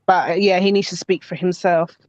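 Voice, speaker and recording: A woman speaking gently and agreeably on a podcast panel. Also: on the low side, level tone, brisk, no room sound, dull audio. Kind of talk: casual debate chat.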